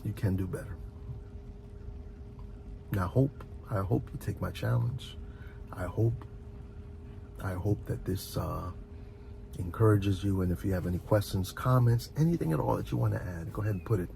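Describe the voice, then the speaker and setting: A man's voice: deep voice, normal speed, vocal fry, long pauses, noisy background. A man giving a rambling, motivational ASMR talk in an earnest, encouraging way, repeating phrases for emphasis. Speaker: ASMR video host.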